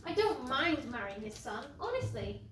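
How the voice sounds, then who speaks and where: A woman's voice: high voice, very animated, moderate pace. A woman playing a sweet, dutiful young daughter in a pantomime, with an earnest, projected delivery. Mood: resigned. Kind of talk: British pantomime stage acting. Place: live stage performance. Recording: distant roomy stage recording with noise.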